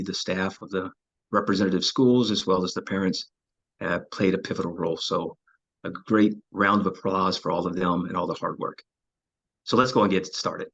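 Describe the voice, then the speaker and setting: Male interview host, low and husky, measured and filler-heavy, on dull video-call audio. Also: a bit bright.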